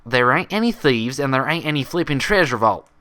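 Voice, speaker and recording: A man's voice: medium pitch, expressive, fairly quick, bright, forceful tone, clear, resonant tone, pitched above the performer's own voice. A man voicing a firm, protective female pony doctor in a story reading, folksy and emphatic. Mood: exasperated. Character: firm, protective mare doctor, exasperated yet caring.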